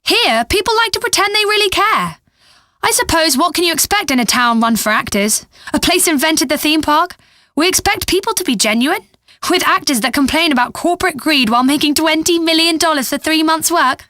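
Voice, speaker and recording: A British female pop radio DJ for a video game, high-pitched and bright, with very lively swoops. She is cheeky and sardonic, breezily sarcastic in her scripted patter, in a close, dry studio sound. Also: no room sound, exaggerated voice. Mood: wry, upbeat.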